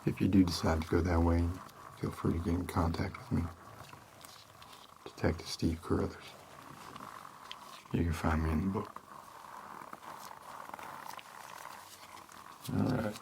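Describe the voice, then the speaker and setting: A man playing a laid-back, shady homicide detective in an ASMR roleplay, halting, rambling and deadpan. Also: noisy background. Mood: deadpan, casual. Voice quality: low, creaky.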